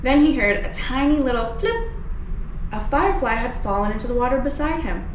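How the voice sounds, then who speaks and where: A woman reading a children's picture book aloud in an animated, expressive way. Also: high-pitched, brisk. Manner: animated, acting out dialogue. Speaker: nature educator reading to children. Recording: roomy, distant mic, faint background noise.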